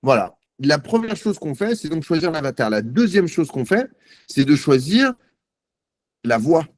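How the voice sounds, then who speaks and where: A man giving a live software demo on a video call, chatty and self-mocking, thinking aloud with many fillers. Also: fairly high pitch, sing-song, measured pace, dry recording. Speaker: guest presenter.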